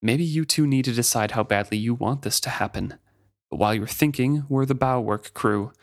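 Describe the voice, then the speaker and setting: A man's voice: medium pitch, normal speed, creaky, close to an everyday voice. Guy doing a fierce fed-up ship captain from a fantasy book, bossy and emphatic, swears a bit. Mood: resigned, firm. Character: fierce exasperated ship captain berating his stubborn living ship. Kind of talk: dramatic reading from a fantasy novel.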